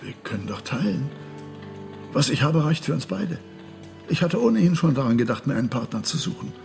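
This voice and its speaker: Creaky-voiced, ingratiating shady dealer. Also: male voice, medium pitch, big pitch swings, brisk, darkish tone, faint music behind. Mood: nervous placating. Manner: eager, placating. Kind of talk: radio drama acting.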